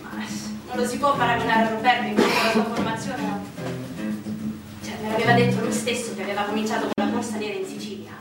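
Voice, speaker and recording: Actress as fast-talking defiant widow, very echoey. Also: female voice, on the high side, steady tone, close to an everyday voice. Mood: defensive. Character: banker's widow, mature, guarded, defiant, bitterly loyal to dead husband. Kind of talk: theatrical stage interview dialogue. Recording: very echoey, distant, music under the voice.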